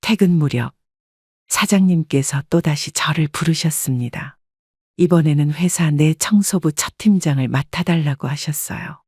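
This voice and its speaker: Husky woman narrating an audiobook. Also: deep voice, darkish tone, dry recording, clean studio sound. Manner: measured, polite storytelling. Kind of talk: scripted first-person story narration.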